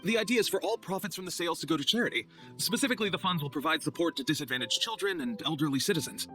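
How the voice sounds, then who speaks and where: A man's voice: on the high side, racing, pushed and bright, faint music behind. A man playing an earnest, overly polite knight's logistics clerk in a video game, formal and a little nervous. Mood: flustered, earnest.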